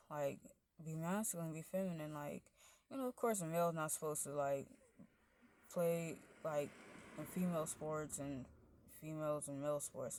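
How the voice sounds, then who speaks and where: A woman with a deep voice, rambling through a casual monologue, padding every phrase with "like" and "you know" while her pitch and loudness wander. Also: no echo.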